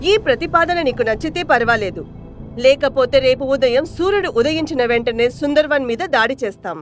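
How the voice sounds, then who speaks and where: A woman reading out a menacing lion king's ultimatum in an animated story, in a threatening manner. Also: high voice. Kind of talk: dramatic letter reading.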